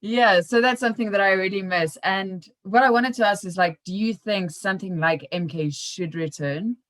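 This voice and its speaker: A woman's voice: mid-range voice, normal speed, fairly bright tone. A woman hosting a podcast, asking questions in a casual, chatty interview style. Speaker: podcast host.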